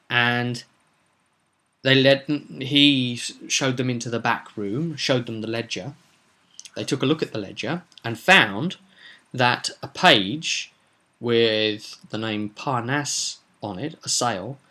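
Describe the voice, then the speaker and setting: A man casually recounting a tabletop roleplay session as game master, in halting, informal storytelling. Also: mid-range voice, pushed and bright. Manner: halting, informal storytelling, voices characters' dialogue in passing.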